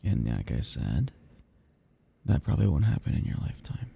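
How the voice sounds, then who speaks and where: A man's voice: a bass voice, big pitch swings, dark tone, no room sound, full character voice. A man playing a melancholy, possessive vampire in an ASMR roleplay, murmuring intimately and often dropping into whispers. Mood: melancholy, bitter. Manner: slow, intimate, breathy murmuring that drops into whispers. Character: seductive, melancholy, possessive male vampire, self-loathing immortal predator.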